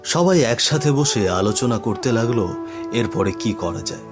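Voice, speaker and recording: A man's voice: medium pitch, lively intonation, normal speed, music in the background. A man reading scripted narration for a horror cartoon story.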